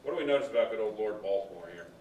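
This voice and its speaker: A man teaches a class in a booming, conversational way and keeps quizzing the students. He is recorded from across an echoey classroom, with faint room noise behind him. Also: animated, racing. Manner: loud, booming, conversational explaining. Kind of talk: classroom lecture with questions. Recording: echoey classroom, far from the mic.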